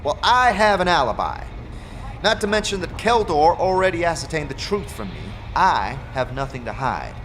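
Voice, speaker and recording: A man voicing a brisk, weary woman who supervises a foundry floor in a fantasy audio drama. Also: a little high, sing-song, a bit bright, slight background noise, pitched above the performer's own voice. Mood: weary, businesslike.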